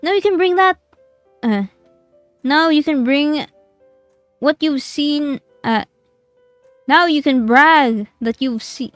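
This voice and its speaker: A woman's voice: high voice, takes it slowly, pauses often, fairly bright tone, no echo, soft background music, voice pushed up. A woman voicing a cheerful, teasing mythical creature from a game and reading its lines aloud playfully.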